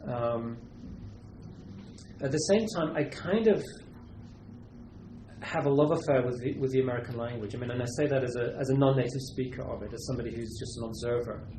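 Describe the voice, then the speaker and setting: A man from Britain, a literary translator, answering questions at a university seminar in a thoughtful, halting way with many restarts. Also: medium pitch, dark tone, echoey room, slight background noise, low-quality recording.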